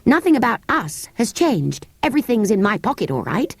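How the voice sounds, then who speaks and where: A woman with a British accent voicing a cocky, quick-witted eldest schoolboy in a storybook, explaining things like a know-it-all. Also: a little high, very animated, gravelly, slightly rough, no room sound, exaggerated voice. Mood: puzzled explaining.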